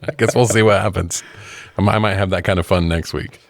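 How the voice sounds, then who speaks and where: A man's voice: sits low, steady tone, fast talker, gravelly. A man co-hosting a podcast in a casual film review chat, laid-back and amused, with occasional swearing. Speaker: podcast co-host.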